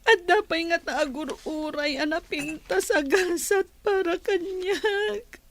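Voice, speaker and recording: A woman plays a wronged young village girl in an Ilocano radio drama. Her voice sits very high and moves slowly. She pleads tearfully, stretching words out in a plaintive way, with an Ilocano accent. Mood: despondent. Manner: tearful, drawn-out, plaintive delivery. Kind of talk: Filipino radio drama acting.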